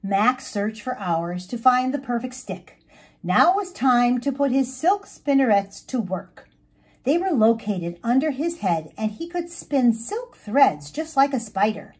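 A woman reading a children's story aloud as its author, expressive and emphatic, drawing out words. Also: on the high side, breathy edge. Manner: animated, emphatic, drawn-out words.

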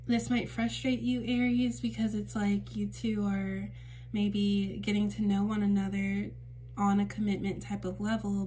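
A woman with a temporarily raspy voice giving a casual spiritual card reading to camera at home, gentle and reassuring with frequent fillers. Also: mid-range voice, slight background noise. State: raspy voice for a few days. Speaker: tarot reader and channel host. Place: indoors at a table in a home room.

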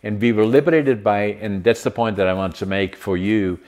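Very deep-voiced man in his 80s, Dutch accent, a host who speaks haltingly on a video call. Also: darkish tone. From Netherlands. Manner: halting, with many fillers and restarts. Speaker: podcast host. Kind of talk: casual interview chat. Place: home room with large window.

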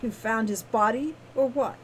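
A woman voicing an irritable, sarcastic male thief in a storybook tale, scoffing and deadpan. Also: fairly high pitch, moderate pace, lightly acted. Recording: clearly noisy background.

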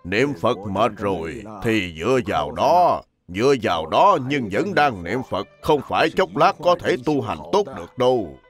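Man voicing an animated, lively-pitched elderly monk. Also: normal speed, hardly any pauses, music in the background. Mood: earnest teaching. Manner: didactic, plain, repeats instructions simply. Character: elderly Buddhist monk, humble, plain-spoken, patient teacher. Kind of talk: dubbed animated religious biography.

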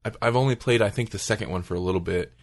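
Low-voiced man chatting about games. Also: rapid-fire. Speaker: podcast host. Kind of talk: casual chat about video games.

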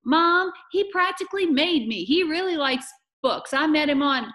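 A woman's voice: high-pitched, expressive, normal speed, pushed and bright, voice pushed up. A woman reading a children's story, voicing a fierce, indignant young girl who scolds emphatically in colloquial speech. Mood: exasperated. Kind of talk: children's novel read aloud.

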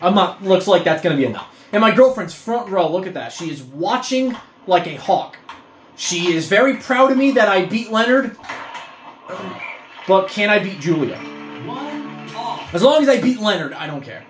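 A man's voice: high voice, sing-song, normal speed. A young man giving casual gameplay commentary, cursing and breaking into frustrated outbursts at the game. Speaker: gaming video creator. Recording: roomy echo with faint game music.

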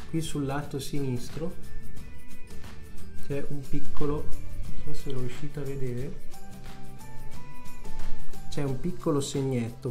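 A man's voice: medium pitch, warm tone. A man with an Italian accent casually narrating a tech unboxing, talking straight to his viewers with lots of fillers. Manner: chatty, addresses viewers directly, frequent fillers. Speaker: tech youtuber.

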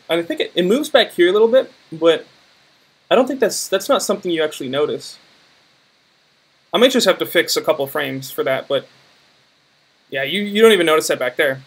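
A man's voice: on the high side, breathy edge, rough recording. A man giving an informal, rambling screen-recording walkthrough of his visual effects work, hesitant and thinking aloud. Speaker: visual effects artist presenting work in progress.

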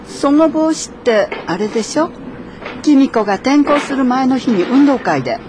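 Frank, lively-pitched woman. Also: moderate pace, background noise. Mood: teasing. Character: frank, teasing adult woman, former classmate. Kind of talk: naturalistic radio drama acting.

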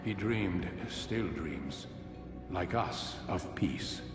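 Wise older assassin master, low grave voice, big echoey hall, kinda distant. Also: male voice, expressive, full character voice. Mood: philosophical. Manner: measured, philosophical, instructive. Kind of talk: dramatic video game cutscene acting. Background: music.

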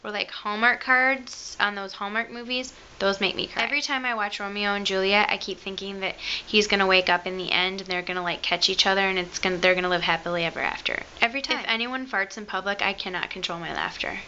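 A woman's voice: mid-range voice, fairly even intonation, fast talker, hardly any pauses, pushed and bright, highs cut off, slight background noise. A young woman with a Michigan accent, rambling and joking about herself as she talks to the camera in her bedroom. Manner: chatty, self-mocking, rambling asides. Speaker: beauty vlogger. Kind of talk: casual chat to camera. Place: bedroom at home, indoors. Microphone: camera close to the face.

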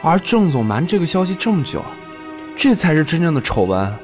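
An actor playing a thoughtful young man who reasons aloud in an expressive way. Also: a little high, fairly quick, soft background music. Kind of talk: amateur audio drama acting.

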